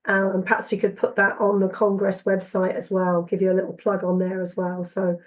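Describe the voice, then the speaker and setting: A woman with a cold-roughened voice, hosting a casual podcast interview and pausing often with ums and restarts. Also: mid-range voice, monotone. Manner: halting, many ums and restarts. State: hoarse from a cold. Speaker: podcast host.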